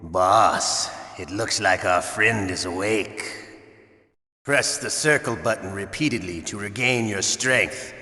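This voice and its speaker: An actor playing a sadistic, theatrical older torturer in a video game, with a menacing, taunting, drawn-out delivery and a gravelly voice. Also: male voice, fairly low pitch, sharp tone. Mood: mocking menace. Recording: echoey hall with long reverb.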